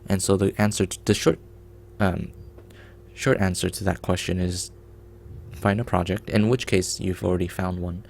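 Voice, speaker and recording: A man's voice: sits low, level tone, moderate pace, gravelly, a little mellow, a little hiss or hum. A man hosting a programming livestream, explaining in a rambling casual way with many ums and restarts.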